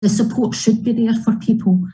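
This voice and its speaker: A woman in her fifties speaking hesitantly and slowly in a news interview. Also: on the high side, steady tone, warm tone, a little hiss or hum, low-quality recording. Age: 50s. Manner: hesitant, slow with pauses. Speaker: patient.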